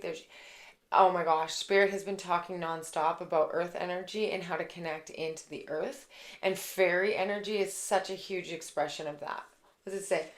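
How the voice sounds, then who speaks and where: A woman with a raspy voice giving a casual, chatty tarot reading, full of fillers and warm asides to her viewers. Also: fairly low pitch. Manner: gushing, chatty, many fillers like "like" and "okay". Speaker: tarot reader and intuitive.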